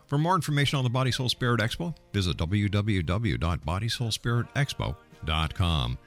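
Low-voiced male radio host. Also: big pitch swings, soft background music. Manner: deliberate announcer delivery, spelling out contact details. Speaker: radio talk show host. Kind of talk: radio interview and announcements.

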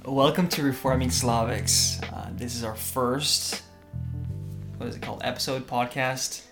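A man's voice: mid-range voice, fairly even intonation, unhurried, music in the background. Earnest young man hosting a faith podcast. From Russia. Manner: earnest, tag questions like "right?". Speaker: podcast co-host. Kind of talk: casual religious podcast talk.

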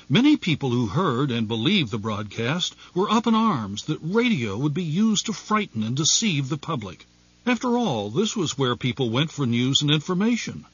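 A man's voice: fairly high pitch, a bit bright, husky, no room sound, dull audio. A man reading scripted documentary narration.

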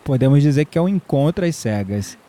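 A man acting a mellow, flirtatious Brazilian neighbour. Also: a little high, animated, fairly quick, natural voice. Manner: playful teasing, flirtatious. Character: mysterious male neighbour, flirtatious, playful, calmly teasing. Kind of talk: audio drama dialogue.

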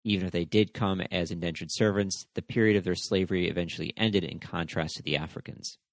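Low-voiced man narrating history. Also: steady tone, dry recording, clean studio sound. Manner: measured, explanatory reading. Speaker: podcast host.

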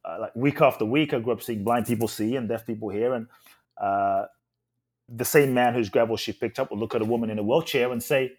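A man's voice: mid-range voice, a little fast, a little mellow. A man raised in London, a guest speaker on a podcast, telling his life story in an earnest and reflective way. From Ghana, raised in London.

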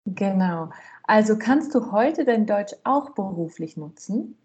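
A woman's voice: mid-range voice, animated, unhurried, a little mellow, dull audio. A woman interviewer putting questions and short responses to her guest in a conversational way.

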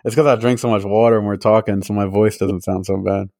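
A man's voice: fairly low pitch, fairly even intonation, fast talker, a little mellow, no room sound, studio quality. A young man chatting casually as a podcast host, rambling and losing his train of thought. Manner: rambling, loses train of thought, many fillers. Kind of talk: casual chat.

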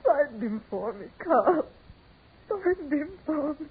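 An actress playing a fragile, frightened woman in 1940s radio horror, with halting, melodramatic pleading. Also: sits high, animated. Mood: anguished pleading. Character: frightened, fragile woman gripped by supernatural dread. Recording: scratchy, tinny 1940s radio recording.

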